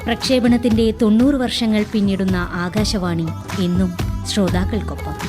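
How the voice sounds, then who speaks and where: A woman's voice: medium pitch, fairly even intonation, moderate pace. A woman radio announcer reading a formal, polished promotional script. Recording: clear music bed behind.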